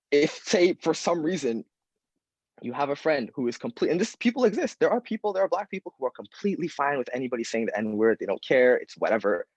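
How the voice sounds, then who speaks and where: A young man speaking at an open mic panel, talking earnestly and directly and quoting people in lively voices. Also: medium pitch, breathy edge, no echo, dull audio. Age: young adult.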